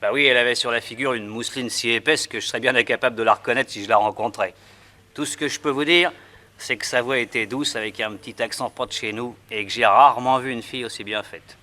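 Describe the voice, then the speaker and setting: An actor playing a wary, superstitious freight station chief in a French radio drama, talking gruffly and uneasily. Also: male voice, medium pitch, steady tone, fast, sharp tone, close to an everyday voice.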